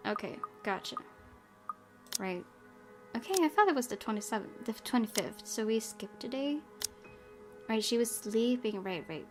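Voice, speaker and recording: A woman's voice: a little high, animated, moderate pace, long pauses. A woman game streamer reading a visual novel aloud with casual commentary. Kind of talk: let's play narration with casual commentary. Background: faint music.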